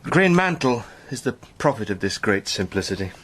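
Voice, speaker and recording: An actor playing an earnest, impassioned British gentleman spy with a literary turn of speech in a radio drama. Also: on the low side, sing-song, normal speed. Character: Sandy, fervent eloquent British gentleman spy.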